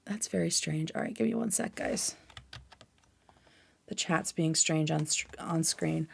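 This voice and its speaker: A woman's voice: sits low, measured pace, gravelly, husky. A woman chatting casually as a livestream host, with an informal, scattered manner and free swearing.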